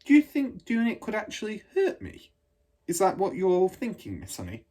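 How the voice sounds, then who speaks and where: A man voicing an eager, inquisitive, excitable young schoolgirl from a children's storybook, in a breathless manner. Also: high voice, moderate pace, pitched above the performer's own voice, heavily acted. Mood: eager, confiding. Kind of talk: children's storybook read aloud.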